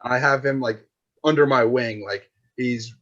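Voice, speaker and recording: Young guy, 20s, loose slangy chatter peppered with filler, mid-pitched, slightly muffled lo-fi mic. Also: moderate pace, a bit bright. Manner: rambling, slangy, full of "like" and "dude". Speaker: podcast guest, filmmaker. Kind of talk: casual chat. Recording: dull lo-fi sound.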